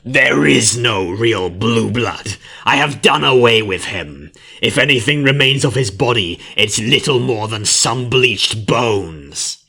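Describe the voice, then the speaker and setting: A man's voice: medium pitch, lively intonation, slow, creaky, hardly any pauses, sharp tone, husky, heavily acted. A man voicing an arrogant male changeling, an insectoid villain who snarls and spits defiantly in a fantasy story. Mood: defiant, gloating.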